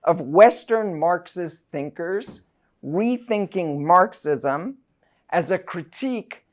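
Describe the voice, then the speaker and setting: A middle-aged man from South Africa, a university professor delivering a prepared lecture in a measured, deliberate, scholarly way. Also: high voice, animated. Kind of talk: prepared academic lecture, read aloud.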